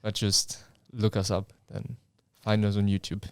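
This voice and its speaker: A young man from Germany, co-hosting a casual podcast in English with relaxed, wry deadpan humor. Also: lowish voice, measured pace, gravelly, no room sound, studio quality. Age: young adult. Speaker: podcast co-host. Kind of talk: casual chat. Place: indoor room, seated in front of camera.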